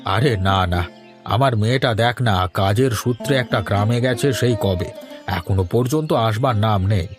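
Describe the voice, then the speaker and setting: A man playing a fretful, affectionate middle-aged father in an animated story. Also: lowish voice, moderate pace, music in the background, close to an everyday voice. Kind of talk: animated horror story acting.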